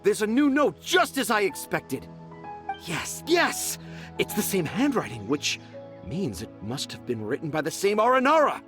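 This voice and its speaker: A man's voice: sits high, very animated, moderate pace, fairly bright tone, faint music behind. An actor playing a stubborn, easily exasperated storyteller father in a video game, with a theatrical, emphatic delivery. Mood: eager, then puzzled.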